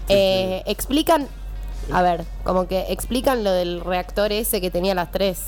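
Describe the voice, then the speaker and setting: A woman's voice: medium pitch, measured pace, a little mellow, soft background music. A woman with a Rioplatense Spanish accent in casual panel chat, arguing her opinions in a colloquial, emphatic way. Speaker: radio show film reviewer.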